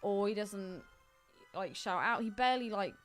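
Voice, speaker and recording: A woman's voice: mid-range voice, normal speed, faint music behind. A woman co-hosting a film podcast, chatting casually in a blunt, teasing way. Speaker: podcast co-host.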